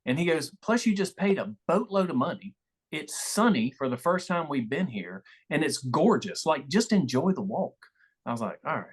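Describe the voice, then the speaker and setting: A man's voice: fairly high pitch, big pitch swings, moderate pace, slightly rough. Man telling stories in casual chat. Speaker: podcast guest.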